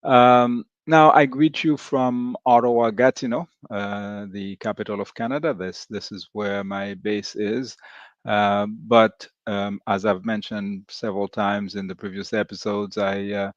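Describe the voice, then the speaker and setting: A man from Haiti, hosting a commentary program in a halting, measured way with frequent hesitations. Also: fairly low pitch, fairly even intonation, dry recording. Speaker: host. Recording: dull lo-fi dry recording.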